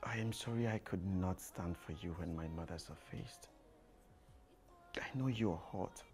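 A man playing a remorseful lover who quietly begs his partner for a second chance, often in a hushed, whispery voice. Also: low voice, faint music behind. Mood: pleading. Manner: slow, hushed pleading.

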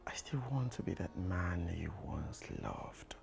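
A repentant man pleading for his lover to take him back, as an actor plays him. His very deep, gravelly voice moves slowly and drops to near-whispers, and faint music plays under him. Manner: slow, hushed pleading. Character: remorseful man begging his lover for another chance.

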